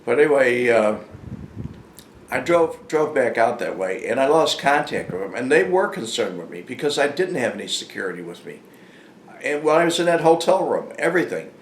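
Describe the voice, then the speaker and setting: An older man telling a casual, unscripted story in a halting, rambling, plain-spoken way. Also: medium pitch, sing-song. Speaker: storyteller, former informant hosting his own channel. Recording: echoey room with faint background noise.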